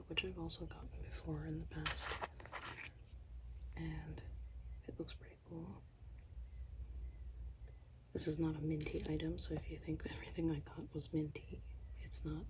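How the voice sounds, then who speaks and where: A hushed, mostly whispered voice drifts slowly through a haul, each item described in a soft ASMR murmur. The voice sounds strained and tired, with a gravelly, creaky edge. A steady hiss of background noise sits beneath every word. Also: medium pitch. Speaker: ASMR channel host.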